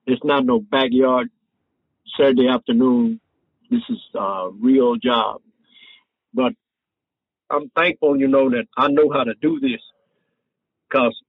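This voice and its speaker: A man, a barbecue pitmaster telling his own story in a folksy, animated way. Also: medium pitch, vocal fry, breathy edge, no echo. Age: 70s. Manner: folksy, animated, loose Southern grammar. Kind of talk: personal storytelling. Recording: phone-like narrow sound with faint music.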